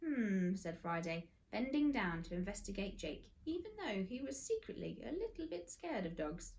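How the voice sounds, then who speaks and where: A woman reads a children's story aloud in the manner of a teacher. Her narration is expressive. Her pitch is medium and moves in lively swings. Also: moderate pace, gravelly.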